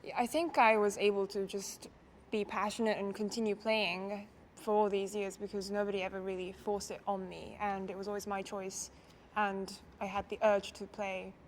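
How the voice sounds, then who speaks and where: A young woman from Japan talks through her answers in a relaxed, reflective way, often pausing with fillers and small laughs. Her voice sits at an average pitch for a woman. A faint background noise runs under the recording. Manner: thoughtful, casual, laughs often, hesitant fillers. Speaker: musician interviewee.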